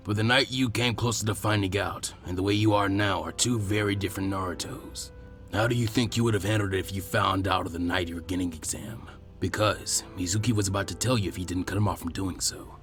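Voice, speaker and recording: A man voicing a wise, elderly village leader in fan fiction, grave and proud, speaking in measured, solemn phrases. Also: deep voice, fairly even intonation, a bit bright, soft background music, pitched below the performer's own voice. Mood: serious. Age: older. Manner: measured, solemn, with weighty pauses. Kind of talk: acted dialogue in fan fiction audiobook.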